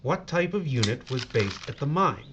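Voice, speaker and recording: An actor playing a cautious, skeptical American army captain in a war audio drama, questioning and insistent. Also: male voice, medium pitch, big pitch swings, normal speed, muffled recording, noisy background, close to an everyday voice.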